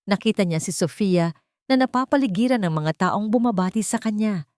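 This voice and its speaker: A woman's voice: medium pitch, a little mellow, no echo, studio quality. A woman with a Filipino accent reading a scripted dramatic story as narrator.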